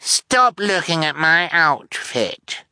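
A man's voice: medium pitch, animated, unhurried, bright, forceful tone, clean tone, no room sound, pitched above the performer's own voice. A man voicing a rude, spoiled little girl in an audiobook, conceited and scornful. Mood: scornful, bratty. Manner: scornful, sneering.

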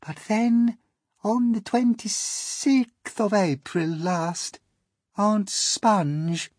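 A woman's voice: mid-range voice, fairly even intonation, extremely slow, darkish tone, slightly rough, no room sound, clean studio sound, pitched above the performer's own voice. A woman voicing a genteel, mournful female spider who tells her sad tale dramatically. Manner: dramatic, tearful storytelling.

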